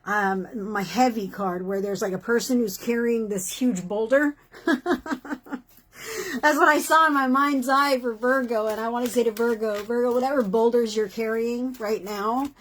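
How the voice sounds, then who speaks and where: A woman reading tarot horoscopes in a casual, encouraging way, her voice worn and hoarse from doing many readings. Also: a little high, normal speed. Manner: chatty, encouraging, many fillers like "you know" and "okay". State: losing her voice. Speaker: tarot reader.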